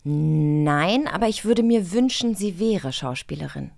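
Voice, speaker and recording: Female podcast co-host, casual and playful, lively intonation, dry recording. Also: on the low side, a little slow, vocal fry, no echo. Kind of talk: casual chat.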